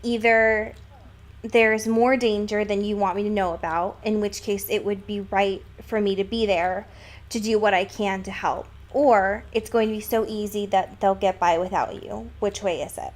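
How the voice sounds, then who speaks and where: A woman's voice: mid-range voice, steady tone, moderate pace. A woman co-hosting a podcast, discussing a book casually and analytically with emphatic, lightly profane phrasing. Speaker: podcast co-host.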